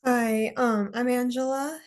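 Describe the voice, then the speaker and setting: A woman's voice: a little high, fairly even intonation, a little slow. A young woman from Montana, a student, introducing herself hesitantly. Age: young adult. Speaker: student fellow.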